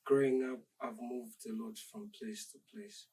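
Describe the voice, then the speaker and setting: A young man from Zambia, a dancer being interviewed, speaking in a measured, reflective and polite way. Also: mid-range voice, big pitch swings, mellow, recorded from a distance, a little hiss or hum. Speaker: dancer guest. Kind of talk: interview conversation.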